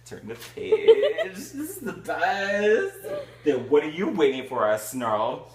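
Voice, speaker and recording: A man reading a novel aloud for friends, shouting the characters' lines and breaking into crude jokes and laughter. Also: a little high, big pitch swings, slow pace, echoey room, a crowd in the background. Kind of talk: comedic group read-through with crude asides.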